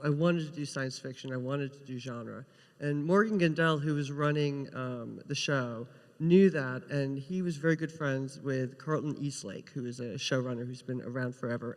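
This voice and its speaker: A woman's voice: rumbling low, darkish tone. Female TV writer, panel anecdotes, long hall reverb. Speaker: television writer, panel guest.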